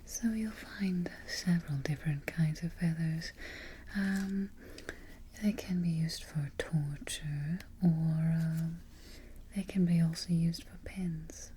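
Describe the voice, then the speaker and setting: A woman acts out an evil queen in a hushed ASMR roleplay. The voice is whispered, lowish and mellow, moving very slowly with musing pauses. The queen is fussy and calm, with quiet menace. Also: a little hiss or hum. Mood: darkly amused.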